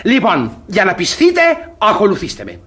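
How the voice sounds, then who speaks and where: A pompous middle-aged suitor from a classic radio farce. His husky voice swings widely in pitch as he blusters and condescends. He is jealous and easily fooled, delivering everything with theatrical self-importance. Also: huge pitch swings, fairly bright tone, exaggerated voice. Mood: scornful, challenging. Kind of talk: Greek radio theatre comedy acting.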